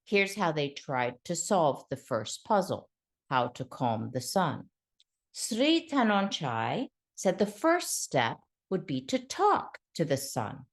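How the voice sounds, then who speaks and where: A woman tells a children's tale in a low voice with plenty of melodic movement. She takes her time, phrasing each line deliberately and leaving dramatic pauses. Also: sing-song. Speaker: storyteller, children's author. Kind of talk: expressive scripted storytelling for children.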